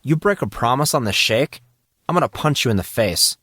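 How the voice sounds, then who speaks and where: A man voicing an excitable, stubborn teenage schoolboy, bantering in casual slang in an audio drama. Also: mid-range voice, fairly quick, husky, no echo, clean studio sound. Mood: earnest, bargaining.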